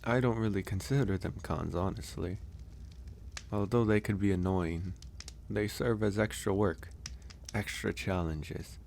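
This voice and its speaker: A gentle young male werewolf, acted by a man for an ASMR audio roleplay, speaks in a very low voice at a very slow pace. He is shy and reassuring, hesitating softly between thoughts. There is light background noise. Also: gravelly. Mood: thoughtful, relaxed. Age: young adult. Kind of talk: ASMR audio roleplay, soft intimate acting.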